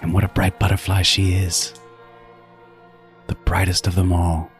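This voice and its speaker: A man's voice: deep voice, little pitch movement, measured pace, faint music behind. An actor playing a meticulous, self-styled artist serial killer in a horror audio drama, savoring his words theatrically with swooping emphasis. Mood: brooding, savoring. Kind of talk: first-person horror audio drama monologue.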